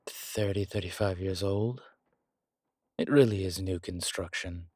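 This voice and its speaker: A man playing a long-lived demonology professor in an audio roleplay, calm, protective and gently wry, speaking in a measured, deliberate way. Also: low-pitched, dry recording, studio quality. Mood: wry, amused. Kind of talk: slow intimate audio roleplay acting.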